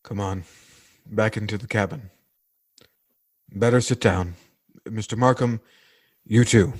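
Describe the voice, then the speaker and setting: A man playing an exasperated, stunned but commanding pilot in a 1940s-style radio drama, speaking in a halting, insistent way. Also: lowish voice, steady tone. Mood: grim, subdued. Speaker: voice actor.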